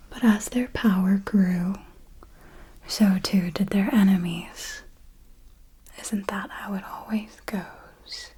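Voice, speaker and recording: A woman playing an elegant vampire mentor in a whispered ASMR roleplay, formal and deliberate, possessive, teasingly menacing and protective, with a breathy delivery. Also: medium pitch, a little mellow. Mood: solemn, hushed. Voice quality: breathy, mostly unvoiced whisper. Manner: slow, deliberate, formal, with measured pauses.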